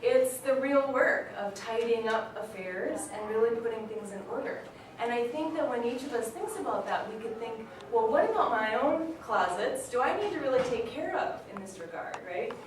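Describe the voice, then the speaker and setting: A woman's voice: fairly high pitch, animated, moderate pace. A woman giving an informal welcome speech as a presenter, recorded from far off in an echoey, noisy hall. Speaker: presenter introducing the performance. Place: hall before an audience. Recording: echoey hall, distant mic, clear noise.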